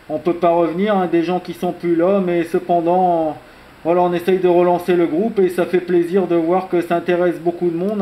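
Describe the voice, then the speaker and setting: Man, high voice, amateur radio operator, friendly jargon-filled chat, filler tags, echoey sound. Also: highs cut off. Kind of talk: informal radio contact chat, call signs and radio jargon. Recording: roomy, echoing radio contact audio.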